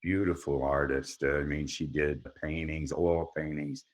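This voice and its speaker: A middle-aged man with a very low, deep voice chats as a podcast guest. He recounts his past in an easygoing way, laughing often and restarting his sentences as he goes. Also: fairly even intonation, unhurried. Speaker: actor guest. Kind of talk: conversational storytelling.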